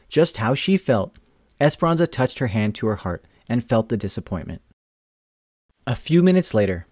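Expressive man reading a picture book. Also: medium pitch, no room sound, studio quality. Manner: gentle, expressive storytelling. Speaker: storytime reader. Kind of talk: children's book read-aloud.

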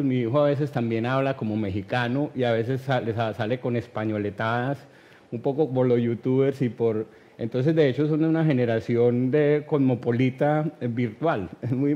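A man in his fifties with a Colombian accent, answering thoughtfully and haltingly as an interview guest, often adding tag questions. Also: mid-range voice, mellow, highs cut off. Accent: Colombian, Medellín area. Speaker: guest, writer. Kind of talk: reflective conversational interview answers. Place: TV studio.

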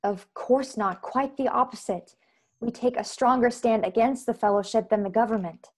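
A woman in her twenties playing an earnest, proud secret-society member in a science-fiction radio play, speaking in measured, formal phrases. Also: fairly high pitch, a little mellow, close to an everyday voice. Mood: earnest, firm. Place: bedroom on video call. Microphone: earbud headset.

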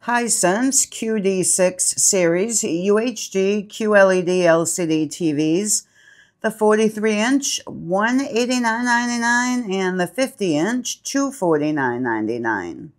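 A woman's voice: mid-range voice, animated, unhurried. A woman hosting her channel, steadily reading product names and sale prices off a printed flyer. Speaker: channel host.

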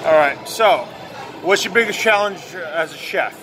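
A man's voice: fairly high pitch, expressive, normal speed, noisy background. A man with a New York accent hosting a casual, joking interview, brash and crude, in a large busy restaurant. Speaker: vlog host. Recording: loud background music.

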